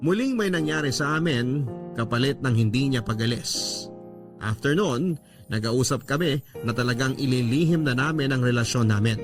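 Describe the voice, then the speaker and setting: A man's voice: medium pitch, animated, moderate pace. A man with a Filipino accent reading a first-person love story as narrator of a radio drama. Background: background music.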